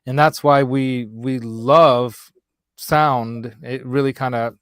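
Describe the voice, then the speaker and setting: A man's voice: medium pitch, fairly even intonation, takes it slowly, dry recording, clean studio sound. A man with a slight Hungarian accent and Hungarian as his first language, hosting a casual chat show, rambling and laughing easily. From United States. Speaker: host.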